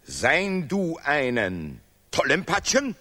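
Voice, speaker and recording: A pompous scholar, slowly declaiming, reverberant. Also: male voice, fairly high pitch, wildly swooping pitch, pushed and bright, full character voice. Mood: threatening. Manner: declamatory, emphatic, chanting broken German with repetitions. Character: pompous male Nobel laureate scholar, stubborn and contemptuous. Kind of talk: stylized avant-garde radio play acting.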